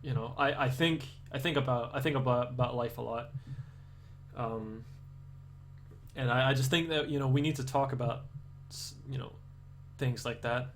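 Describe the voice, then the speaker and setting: A man's voice: mid-range voice, fairly even intonation, moderate pace, pauses often, slight background noise. A young Danish man with an American-leaning accent, chatting casually with viewers on a live stream and rambling with many restarts. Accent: Danish, aiming for American English. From Denmark.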